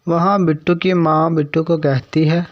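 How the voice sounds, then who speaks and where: A man's voice: fairly high pitch, steady tone, normal speed, very noisy. A man reading scripted narration for a cartoon story. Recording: dry, slightly dull sound.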